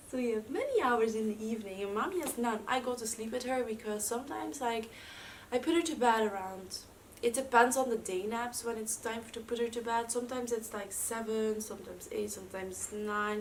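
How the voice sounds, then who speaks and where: A young woman speaking English with a Dutch accent, her voice sitting a little high. She rambles casually at home with plenty of fillers, sounding a bit away from the mic over faint room noise. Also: far from the mic, rough recording. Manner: chatty, with many fillers. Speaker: vlogger. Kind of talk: casual chat.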